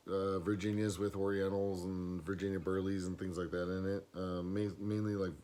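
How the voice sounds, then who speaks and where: Casting: hoarse man with a lowish voice, easygoing rambler, camera-mic sound. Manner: rambling, many fillers and restarts. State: hoarse, losing his voice. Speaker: pipe smoking vlogger. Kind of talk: casual box opening vlog. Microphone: camera mic, no external mic.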